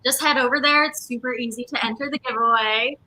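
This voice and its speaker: Female interview guest, very high and intensely bright voice, casual chat about company work. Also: fairly even intonation, brisk, low-quality recording.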